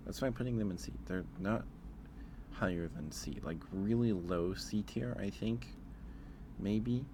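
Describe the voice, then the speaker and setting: A man's voice: fairly low pitch, a little slow, noisy background. A man streaming ASMR in a casual, hesitant way, repeating his phrases as he thinks aloud. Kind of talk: ASMR livestream, casual chat ranking things.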